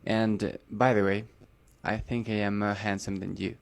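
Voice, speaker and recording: Man from northern Mexico, low voice, calm, confident storyteller. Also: moderate pace, vocal fry, noisy background. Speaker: interviewee telling a story.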